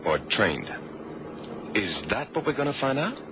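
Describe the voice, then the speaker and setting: Wry agent sidekick, deep voice, casual delivery, noisy vintage radio drama. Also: male voice, big pitch swings, a little slow. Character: Johnny, wisecracking, easygoing American male agent sidekick. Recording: noisy old radio recording.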